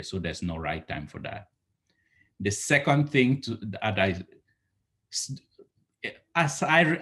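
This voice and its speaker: A man in his thirties from Cameroon, giving earnest, rambling advice on a home video call and restarting his sentences often. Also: low voice. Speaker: entrepreneur guest. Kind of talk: casual video call interview. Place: home living room, indoors. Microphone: laptop webcam on a video call.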